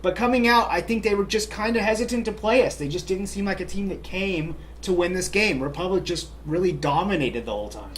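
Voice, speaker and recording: Upbeat male soccer-show co-host, high and chatty, faint hiss behind. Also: expressive, a little fast, few pauses. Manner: upbeat, chatty, many "you know" fillers. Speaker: podcast co-host. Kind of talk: casual sports chat.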